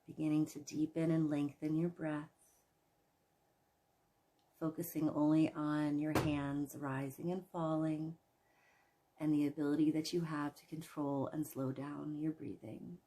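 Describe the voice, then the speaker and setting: A woman leading a guided imagery relaxation in a soothing, gentle manner, leaving long pauses between phrases. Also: low voice, flat delivery. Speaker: guided meditation host.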